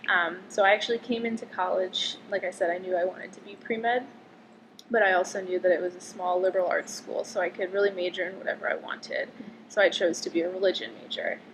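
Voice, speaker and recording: A woman's voice: on the low side, fairly even intonation, fairly quick, slight background noise, low-quality recording. A woman in her twenties from Connecticut, a medical student answering interview questions casually, with lots of "um" and "like". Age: 20s. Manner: chatty, frequent "um" and "like" fillers.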